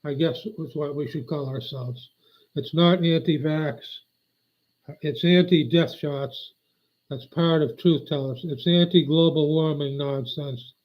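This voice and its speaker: Older man, livestreamer, forgetful and grumbling, slightly high voice, muffled lo-fi sound. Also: unhurried. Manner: meandering, forgetful, aggrieved. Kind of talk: rambling live stream chat. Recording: dull lo-fi audio.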